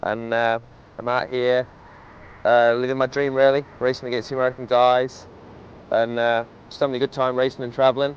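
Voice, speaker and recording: A man, a pro racer introducing himself in a relaxed, rambling way. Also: medium pitch, brisk, lo-fi sound, slight background noise. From England. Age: 20s.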